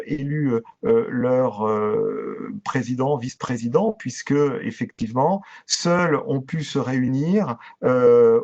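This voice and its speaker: A man giving a formal, methodical online training presentation in speech, hesitating very often with drawn-out filler sounds. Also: mid-range voice, a little mellow. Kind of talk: explanatory online presentation to local councillors. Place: video conference with shared screen. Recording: dull, lo-fi video-call audio.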